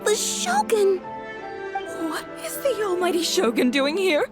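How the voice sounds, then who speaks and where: Awestruck townswoman, very high. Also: very animated, measured pace, over music, full character voice. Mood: startled awe. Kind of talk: video game voice acting.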